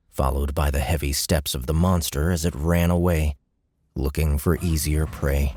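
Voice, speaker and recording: A man's voice: low-pitched, warm tone. A man narrating a fiction audiobook from a script.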